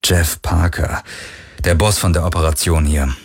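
A man's voice: low-pitched, fairly even intonation, unhurried, breathy edge, pitched below the performer's own voice, exaggerated voice. A man voicing a wary, sardonic young crewman in an audiobook, with a dry, guarded manner.

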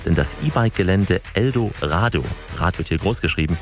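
A man's voice: sits low, lively intonation, brisk, faint music behind. A man from Germany, reporting and interviewing conversationally as a travel host.

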